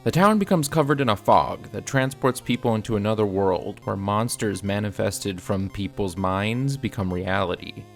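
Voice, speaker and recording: A man's voice: lowish voice, expressive, moderate pace, hardly any pauses, soft background music. A man narrating a scripted video review in a casual, opinionated manner. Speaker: film reviewer.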